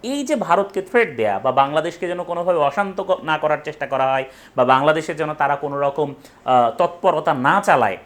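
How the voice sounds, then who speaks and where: Animated young man explaining. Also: fairly high pitch, fairly quick. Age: young adult. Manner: emphatic, repeats and rephrases points, rhetorical questions. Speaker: educational presenter. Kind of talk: explainer talk to camera. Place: small indoor room with bookshelf.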